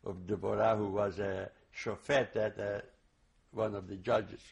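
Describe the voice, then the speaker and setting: Older man, Hungarian native, testimony interviewee; gravelly, slow, halting with restarts. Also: fairly low pitch, little pitch movement. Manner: halting, many restarts and fillers; slow, reflective recall. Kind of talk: oral history testimony.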